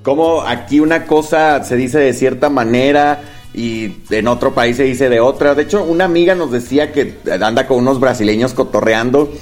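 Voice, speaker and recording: Relaxed young man, Guadalajara Mexican accent. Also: medium pitch, moderate pace, soft background music. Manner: relaxed, slangy storytelling. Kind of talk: casual podcast chat.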